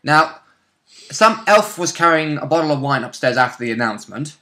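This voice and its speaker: Male gamer, bright and forceful tone, informal retelling of a tabletop session. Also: on the high side, animated, normal speed. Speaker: role-play game participant. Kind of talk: casual chat recapping a game.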